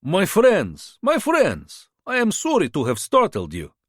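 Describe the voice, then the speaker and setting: High bouncy fast-talking border hustler guy, foreign accent, broken english, a man doing the voice. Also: dry recording, clean studio sound, exaggerated voice. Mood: wheedling. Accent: unplaceable foreign accent. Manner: broken English, pushy and flattering. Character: smooth-talking male border fixer, about thirty, eager hustler.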